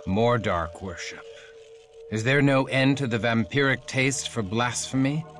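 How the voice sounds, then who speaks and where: An actor playing a brooding, righteous crusader knight turned vampire, speaking formal archaic English in a video game. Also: male voice, mid-range voice, unhurried, a bit bright, soft background music, natural voice. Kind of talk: theatrical video game acting.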